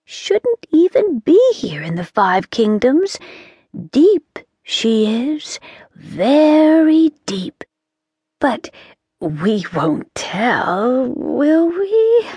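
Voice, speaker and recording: A woman voicing a fussy, confiding witch who chatters in a high voice and drops into whispers. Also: huge pitch swings, extremely slow, dark tone, husky, dry recording, heavily acted.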